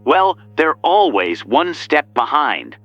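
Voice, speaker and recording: A calm, taunting killer on a late-night call, lingering over every word. His voice swings widely in pitch and sounds bright and sharp. The sound is dry and a little dull. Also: dry recording, faint music behind, exaggerated voice. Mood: menacing. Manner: slow, teasing, sinister. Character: menacing male killer caller, calm and taunting. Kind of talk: audio drama acting.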